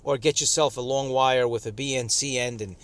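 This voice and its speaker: A man giving a casual hands-on product review outdoors, speaking in a halting, hesitant way. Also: mid-range voice, steady tone. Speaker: radio reviewer. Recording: outdoor noise, insects and traffic.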